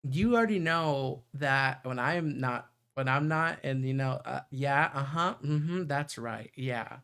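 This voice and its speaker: A man's voice: medium pitch, slow, gravelly, a bit bright, smooth. A man livestreaming a visual novel, reading the narration aloud in a playful way and sometimes stumbling or breaking into chatty asides.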